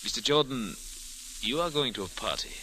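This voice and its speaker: An actor playing a suave, menacing villain in old radio drama, speaking formally and deliberately with sly insinuation. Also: male voice, medium pitch, lively intonation, pushed and bright, rough recording. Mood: smooth menace. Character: suave, menacing male villain offering a sinister deal. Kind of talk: 1940s-50s radio drama acting. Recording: hissy old-time radio recording.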